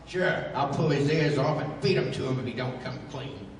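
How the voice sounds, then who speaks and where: A man acting a folksy, rough-and-ready sidekick in a 1930s-style radio play, with a colloquial, blustery way of talking. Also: mid-range voice, moderate pace, natural voice. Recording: echoey hall from far off, faint noise.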